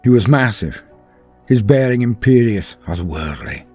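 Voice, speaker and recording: A man narrating a story in a solemn, theatrical, dramatic style with a husky voice and drawn-out phrases. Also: lowish voice, expressive, darkish tone. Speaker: storyteller narrating fan fiction. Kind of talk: dramatic scripted first-person narration. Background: music.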